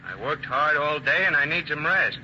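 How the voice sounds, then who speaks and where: A man's voice: fairly high pitch, lively intonation, normal speed, bright, forceful tone. Blunt, weary man on noisy old radio. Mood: irritated. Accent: American. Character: tired, grumbling working man, reluctant accomplice. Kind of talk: 1940s radio drama acting. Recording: dull, noisy vintage radio recording.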